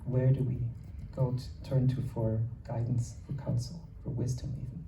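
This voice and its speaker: A man interviewing a guest, asking questions in measured, searching phrases. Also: medium pitch, level tone, gravelly. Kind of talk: conversational interview. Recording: roomy distant mic with faint noise.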